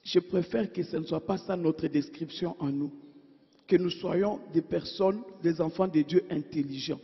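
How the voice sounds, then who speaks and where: Woman preacher, husky and high, rhetorical questions, loud then hushed; muffled church hall echo. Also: normal speed. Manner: asks the congregation rhetorical questions; swings between emphatic and hushed. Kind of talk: sermon. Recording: dull sound in a reverberant church hall.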